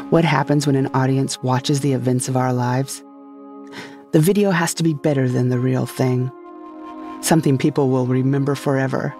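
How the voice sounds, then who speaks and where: Narrator for sci-fi audio drama monologue, medium pitch, soft music underneath. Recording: faint music behind the voice.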